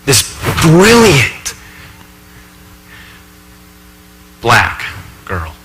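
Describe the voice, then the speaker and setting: A man performing a dramatic stage monologue as an earnest, anxious thirty-year-old college professor, confiding in halting, emphatic asides. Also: mid-range voice, wildly swooping pitch, a bit bright, pitched above the performer's own voice, full character voice. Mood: troubled, ashamed. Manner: conversational asides, halting, emphatic, self-questioning. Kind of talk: dramatic monologue for speech competition. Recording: echoey hall, faint background noise.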